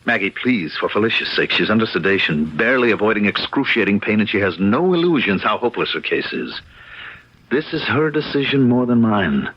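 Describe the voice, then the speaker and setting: An actor playing a determined, commanding wealthy husband in a 1970s radio drama, earnest, forceful and formal. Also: male voice, lowish voice, expressive, a little fast. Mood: urgent, pleading. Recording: dull lo-fi old radio recording.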